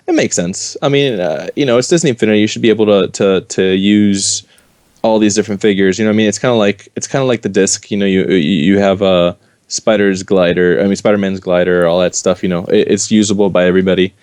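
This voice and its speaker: A man with a lowish voice co-hosts a casual chat, laid-back and easygoing, leaning on fillers and repeated words. The sound is dry and close, with no room around it. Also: fast talker, clean tone, no echo. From Los Angeles. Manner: relaxed, repeats words, fillers like "you know". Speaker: podcast co-host.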